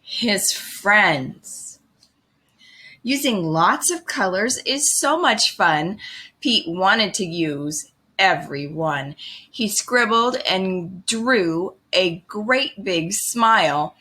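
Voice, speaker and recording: A woman reading a children's picture book aloud in an expressive, deliberate way. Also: mid-range voice. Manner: slow, expressive, deliberate phrasing. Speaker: storybook reader.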